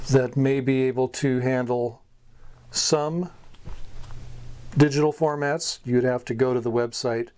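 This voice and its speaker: A man hosting an informal hobby lesson, explaining step by step in a halting way and repeating words as he goes. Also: mid-range voice, a little mellow. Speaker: radio hobbyist host giving beginner advice. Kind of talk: informal instructional talk.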